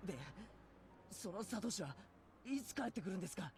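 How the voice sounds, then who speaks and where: An actor playing an earnest, excitable teenage boy in a Japanese visual novel, animated and urgent. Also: high voice, racing, slight background noise. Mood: tense, questioning.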